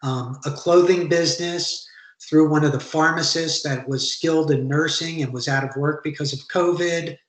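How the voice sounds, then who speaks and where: A man describing his charity projects on a video call panel, earnest and halting with fillers. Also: a little high, steady tone, a bit bright, reverberant, low-quality recording. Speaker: charity founder, alumni panelist.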